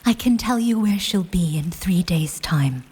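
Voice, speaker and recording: A polite young war hero in a fantasy radio play. Her voice is husky and slightly breathy. She picks formal words with care and keeps her guard up. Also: lowish voice, expressive, measured pace, darkish tone, exaggerated voice. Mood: guarded pleading. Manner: careful, courteous, formal. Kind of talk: fantasy audio drama acting.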